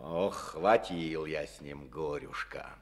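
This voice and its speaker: An actor playing a boastful, rambling middle-aged district boss with folksy speech, in an old radio play. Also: male voice, very deep voice, takes it slowly, heavily acted. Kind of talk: Soviet radio play acting. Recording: old studio radio recording.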